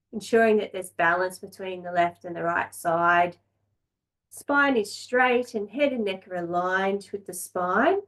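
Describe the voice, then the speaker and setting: Calm lady yoga teacher, mid pitch, really slow n gentle guided relaxation, lots of pauses. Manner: calm, gentle, unhurried, with long pauses between short phrases.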